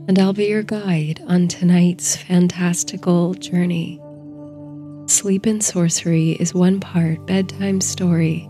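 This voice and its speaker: Female sleep-story narrator. Very mellow and even, soothing and soft, faint music beneath. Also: lowish voice. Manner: soothing, hushed, unhurried delivery. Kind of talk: slow bedtime story narration, guided meditation.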